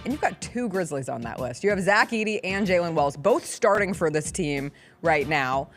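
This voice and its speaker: A woman's voice: lowish voice, animated, normal speed, soft background music. A woman hosting a sports talk show, chatting casually and playfully with emphatic delivery.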